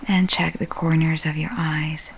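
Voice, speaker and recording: A woman leading a guided sleep meditation in a soft, breathy, half-whispered and soothing way, with long pauses. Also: deep voice, flat delivery, slight background noise, low-quality recording.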